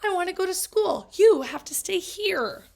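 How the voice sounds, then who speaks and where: A woman reading aloud, voicing a young girl T-Rex who is fussy and frustrated, in a high, whiny, pleading way. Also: lively intonation, normal speed, creaky, a little mellow, exaggerated voice.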